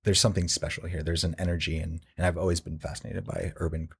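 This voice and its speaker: A man from New Jersey recounting memories in a casual group conversation, halting with fillers. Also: sits low, little pitch movement, gravelly, breathy edge.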